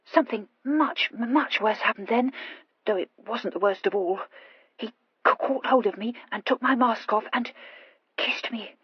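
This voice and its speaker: A woman's voice: a little high, moderate pace, husky, dry recording, dull audio, voice pushed up. A woman voicing an impulsive, anxious young aristocratic wife who stammers and gushes earnestly in an audiobook story. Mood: anxious, confessing. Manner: hesitant, gushing confession with emphatic outbursts.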